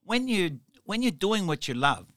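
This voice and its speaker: A man's voice: high-pitched, sing-song, moderate pace, fairly bright tone. A middle-aged man from Italy with an Australian accent, hosting a casual interview and telling chatty stories with lots of "you know". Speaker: podcast host.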